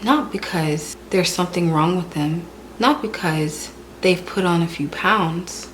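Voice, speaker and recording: A woman doing a spiritual tarot reading in a calm, repetitive way, sounding stuffy and hoarse from a cold. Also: fairly low pitch, a little slow, a little hiss or hum, poor audio. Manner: calm, repetitive, soft-spoken delivery. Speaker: tarot reader.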